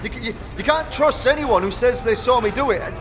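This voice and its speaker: A man's voice: very high-pitched, expressive, moderate pace, slight background noise, voice pushed up. A man voicing a shifty, indignant workman villain, sputtering and blustering in a fantasy game audio drama. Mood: indignant, desperate.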